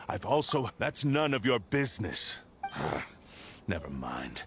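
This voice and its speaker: A man's voice: on the low side, sing-song, slow, fairly bright tone, slight background noise, heavily acted. An actor playing a brusque, dismissive young swordsman in a video game, curt and scornful. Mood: cold, impatient.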